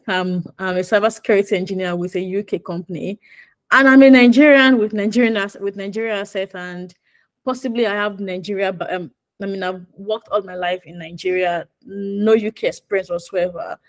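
A woman's voice: medium pitch, steady tone, normal speed. A young woman moderating a webinar, asking long, winding questions over a video call.

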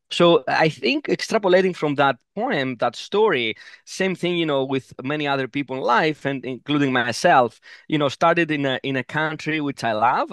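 Middle-aged man, reflective and halting, dry close headset sound. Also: mid-range voice, a bit bright, no room sound. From Greece. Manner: thoughtful, many "uh" fillers, repeats words. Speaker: oncologist guest. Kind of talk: interview answers. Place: home room, video call.

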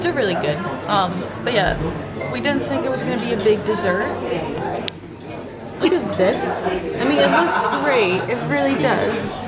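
A young woman from the Netherlands with a Dutch accent, chatting cheerfully to a handheld camera in a travel vlog and mixing in Dutch words. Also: a little high. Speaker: vlogger. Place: inside a car. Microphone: phone camera held at arm's length.

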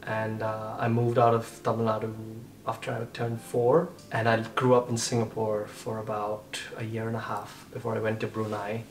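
A man's voice: on the low side, completely flat delivery, normal speed, few pauses, reverberant, distant mic, a little hiss or hum. A man in his twenties from India, speaking casually in an interview with fillers and self-corrections. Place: room with bookshelf.

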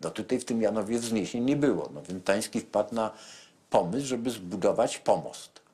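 An older man with a husky voice explains in an interview, his pitch rising and falling a lot. He stops often to search for words, with soft music faintly underneath. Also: expressive, normal speed. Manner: explanatory, with many hesitations. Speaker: interviewed historian. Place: small wooden boat cabin.

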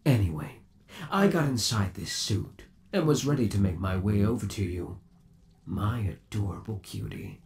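A man's voice: fairly low pitch, animated, normal speed, gravelly, a little mellow. A man playing an undead, obsessive boyfriend trapped in a mechanical suit, sweet-talking and possessive in a theatrical ASMR roleplay. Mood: eerily calm. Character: undead yandere boyfriend trapped in springlock suit, menacingly doting. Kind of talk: ASMR roleplay, scripted monologue to the listener.

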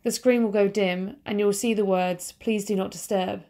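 A woman reading a scripted voice-over that gives slow, careful, step-by-step instructions for setting up equipment. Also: mid-range voice, steady tone, warm tone, faint music behind. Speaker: project presenter.

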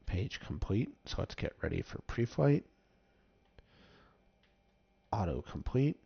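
A man with a fairly low voice walks through a game as tutorial host, casual and instructional. The recording is a little dull. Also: sing-song, slow, creaky, slightly rough. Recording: slightly dull, muffled microphone.

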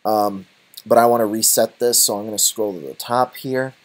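Low-voiced man talking through a tutorial. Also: fairly even intonation, moderate pace. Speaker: tutorial presenter. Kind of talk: unscripted software tutorial, thinking aloud.

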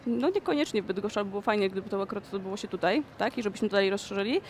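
A young woman, a dance choreographer, answering interview questions in a conversational way with frequent pauses, recorded in a shopping mall. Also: mid-range voice, a little mellow, slight background noise.

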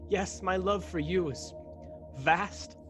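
A young man playing a resolute, self-sacrificing starship ensign in a science-fiction radio play, speaking formally and with measured phrasing. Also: sits high, over music. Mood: resigned. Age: young adult. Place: indoors at home, video call. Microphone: desk condenser microphone, close.

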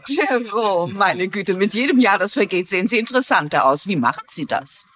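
An actress playing a genial, chatty older mystery writer with gracious manners in a dubbed TV drama. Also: female voice, medium pitch, lively intonation, normal speed, a bit bright, natural voice. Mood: charming. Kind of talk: German-dubbed TV drama acting.

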